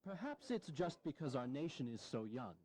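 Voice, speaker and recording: A man's voice: fairly high pitch, big pitch swings, normal speed, darkish tone. An actor playing an aging, self-deprecating American statesman in a historical audio drama, sardonic, blunt and earnest. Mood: dry humour.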